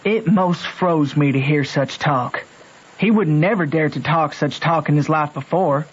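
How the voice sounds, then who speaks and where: An earnest backwoods boy with a high voice and a Southern American drawl. He talks in folksy, rustic grammar as he narrates and acts in a radio play, wrestling with his conscience. The sound is a dull old radio recording. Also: measured pace, breathy edge. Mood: troubled. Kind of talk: old-time radio drama, first-person narration and dialogue.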